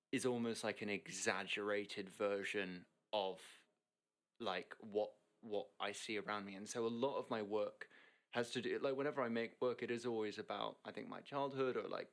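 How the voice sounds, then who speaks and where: A young man who grew up in Hong Kong, a guest chatting casually, rambling with many fillers and restarts. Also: on the low side. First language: English. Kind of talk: casual interview chat.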